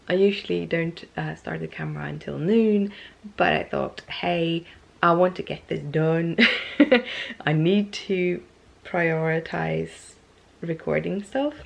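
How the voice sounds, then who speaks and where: A woman's voice: on the low side, creaky. A woman vlogging casually to her camera, stuffy and losing her voice, rambling with many ums and restarts. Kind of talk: casual talking-to-camera vlog.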